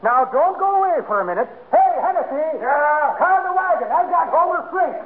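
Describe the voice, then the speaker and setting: An actor playing a gruff, bossy, suspicious Irish policeman who barks orders in a 1940s radio comedy. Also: very high voice, animated, fairly quick. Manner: barking, blustering orders. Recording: scratchy, tinny 1940s radio recording.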